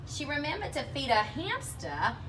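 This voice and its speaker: A woman's voice: high voice, wildly swooping pitch, normal speed. Woman reading a story in a noisy room. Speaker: storybook reader, co-host. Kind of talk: reading a children's picture book aloud with casual chat. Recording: distant microphone, noisy room.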